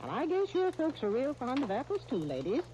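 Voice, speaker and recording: A woman's voice: high-pitched, wildly swooping pitch, fairly quick, poor audio. A woman playing a kindly small-town aunt with an American accent in folksy 1930s radio style. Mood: kindly, pleased. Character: kindly, homespun small-town aunt who tells stories and shares recipes. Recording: hissy 1939 radio broadcast disc.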